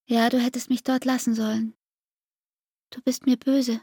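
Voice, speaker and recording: An actress playing a weary, pleading young woman in love in a German radio drama, intimate and breathy, slipping into whispers. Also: a little high, flat delivery, normal speed, darkish tone. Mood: dejected, self-blaming.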